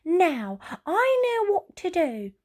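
A plucky little boy snail from a children's storybook, played by a woman. He speaks very high, with big emotional swings and dramatic exclamations. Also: sing-song, takes it slowly, darkish tone, exaggerated voice. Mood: determined. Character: small young male snail dreamer, dramatic and plucky.

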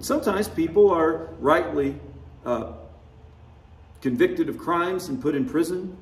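A man's voice: on the high side, creaky, darkish tone, reverberant, far from the mic. A man preaching a sermon, conversational and wry, often chuckling at his own remarks. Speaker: pastor. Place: church sanctuary.

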